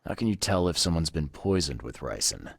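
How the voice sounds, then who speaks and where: An actor playing a gruff, wry, world-weary private investigator in a video game, speaking laconically in a husky voice. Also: male voice, sing-song, fairly quick, no echo, exaggerated voice. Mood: probing. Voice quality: husky, gravelly low voice. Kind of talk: video game dialogue acting.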